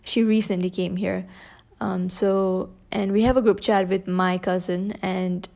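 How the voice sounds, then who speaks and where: A young woman hosting her own podcast, talking without a script in a hoarse, scratchy voice while grieving, with many pauses and soft fillers. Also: medium pitch, fairly even intonation. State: grieving, holding back tears. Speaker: podcast host. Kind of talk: unscripted personal podcast talk.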